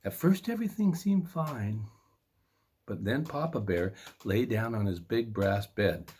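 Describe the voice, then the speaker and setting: A man reading a children's story in a playful, expressive way with dramatic emphasis. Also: on the low side, a little slow, husky.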